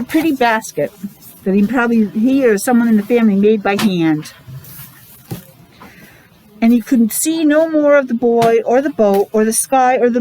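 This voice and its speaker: A woman reading a picture book aloud to young children, expressive, adding explanations and questions along the way. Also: a little high, a little hiss or hum, low-quality recording. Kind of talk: animated read-aloud storytelling with asides to young children.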